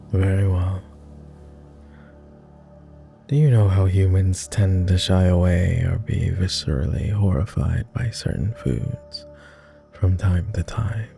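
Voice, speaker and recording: A man playing a weary, courtly vampire lord in an ASMR roleplay, murmuring formally and hesitantly with many pauses, close to the microphone. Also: low voice, vocal fry, dark tone, full character voice. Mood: solemn.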